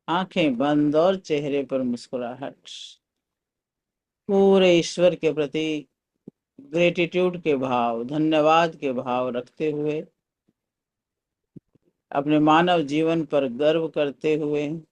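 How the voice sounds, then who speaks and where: A man guides a meditation in a fairly high voice. He speaks very slowly and calmly, giving soothing instructions like a teacher leading a session. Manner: calm, soothing, unhurried instruction. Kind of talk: guided meditation with breath counting and mantra chanting.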